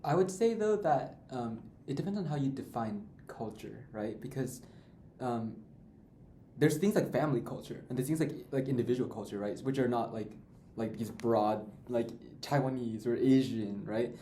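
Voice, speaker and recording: A man's voice: mid-range voice, normal speed, warm tone. A young man co-hosting a student podcast, talking casually with many fillers and restarts. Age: young adult. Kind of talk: casual discussion. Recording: echoey room, faint noise.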